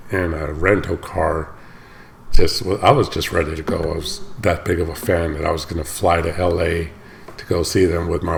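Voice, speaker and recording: A man with a low, gravelly voice that is still slightly hoarse after an illness. He talks casually and rambles, often stopping to fix his own words. A faint hum of room noise sits under him. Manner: rambling, stops often to correct himself. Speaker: reaction channel host. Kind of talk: casual talk to camera.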